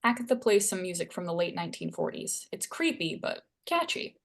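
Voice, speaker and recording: A woman's voice: lowish voice, big pitch swings, fairly quick, creaky. A woman reading stage directions aloud as scripted narration at a screenplay table read.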